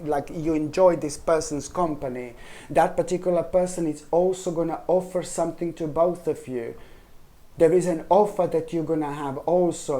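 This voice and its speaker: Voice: medium pitch, warm tone. A tarot reader giving a casual reading to camera, chatty and rambling, often trailing off and restarting sentences and calling the viewer by a pet name.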